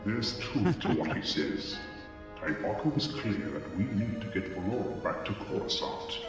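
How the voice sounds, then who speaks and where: A man playing a calm, humble and wise alien Jedi master in a dramatic comic dub, measured and solemn. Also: fairly low pitch, lightly acted. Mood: calm resolve. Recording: heavy cave reverb, music behind.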